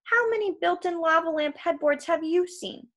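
A woman's voice: extremely high pitch, moderate pace, fairly bright tone, pitched above the performer's own voice. A woman voicing a giant male salesman villain in a storybook, fussy and folksy, threatening people in cheerful sales patter. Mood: jovial menace.

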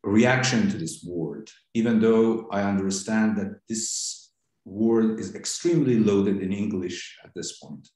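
A man with a Persian accent, a native Farsi speaker, lectures on philosophy in a low voice. He speaks slowly and deliberately and pauses often between phrases. The recording sounds very echoey, as if made in a bare, reverberant room. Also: darkish tone. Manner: deliberate, halting delivery with frequent pauses. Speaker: seminar instructor.

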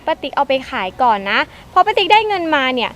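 A woman playing a fast coaxing young woman. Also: sits high, big pitch swings, a bit bright, slight background noise. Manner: coaxing, persuasive. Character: pushy young woman, smooth talker. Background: music.

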